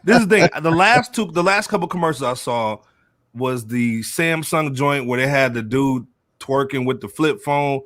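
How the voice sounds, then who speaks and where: A man's voice: medium pitch, lively intonation, moderate pace, a bit bright, dry recording, clean studio sound. A man hosting a casual panel chat, speaking bluntly and full of slang. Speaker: podcast host.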